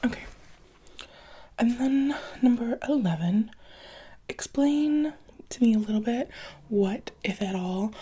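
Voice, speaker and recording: A woman speaking very softly and breathily, often in a whisper, as a gentle, encouraging interviewer in an ASMR roleplay. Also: on the high side, expressive, slow pace, long pauses, breathy edge. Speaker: ASMR roleplayer playing a restaurant job interviewer.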